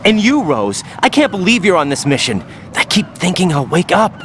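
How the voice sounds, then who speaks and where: A man's voice: high voice, very animated, moderate pace, a bit bright, a little hiss or hum. An actor playing a young male soldier, an anxious rookie agent who keeps asking uneasy questions. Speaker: infiltration agent.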